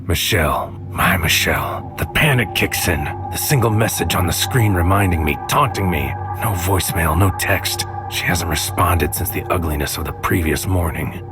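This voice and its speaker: Low-voiced male tormented sci-fi antihero, grave poetic first-person narration, music clearly underneath. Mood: tormented, tense. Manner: grave, deliberate, poetic delivery. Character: brooding, tormented male protagonist of sci-fi mystery. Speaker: narrator and protagonist. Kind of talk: first-person scripted audio drama narration. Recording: music behind the voice.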